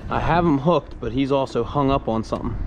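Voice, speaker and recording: A man's voice: mid-range voice, a little fast, gravelly. A man narrating casually while fishing from a kayak on open water, muttering to himself between catches, recorded on a head-mounted camera. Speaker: fishing vlogger. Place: kayak on open water under a bridge, windy. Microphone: head-mounted action camera. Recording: wind noise on an outdoor camera mic.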